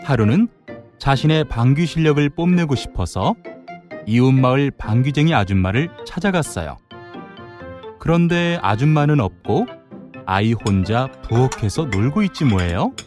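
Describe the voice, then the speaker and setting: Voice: mid-range voice, expressive, moderate pace, darkish tone. A narrator telling a children's folktale expressively, playing up the sound words. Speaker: storyteller narrator. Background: music.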